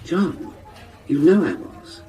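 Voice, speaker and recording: A man's voice: on the high side, lively intonation, slow pace, dark tone, distant mic, pitched above the performer's own voice. A man voicing a defensive, embarrassed woman suspect from a crime novel, pleading and exasperated.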